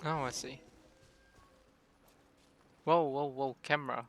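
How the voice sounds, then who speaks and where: Bright-voiced, slow-talking male game streamer. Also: medium pitch, steady tone. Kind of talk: casual live game commentary. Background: game music.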